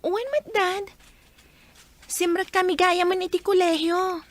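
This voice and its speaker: An actress playing a sweet, dutiful young student daughter in a Filipino radio drama, with a Filipino accent. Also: female voice, very high-pitched, steady tone, measured pace. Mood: sweet, affectionate.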